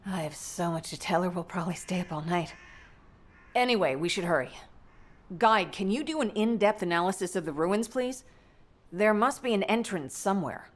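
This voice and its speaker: An actress playing a composed, scholarly female doctor and mother in a video game, speaking in a measured, articulate, matter-of-fact way. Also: lowish voice, expressive. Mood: tender, wistful, then businesslike. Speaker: medical doctor character. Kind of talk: scripted game dialogue, explanatory briefing.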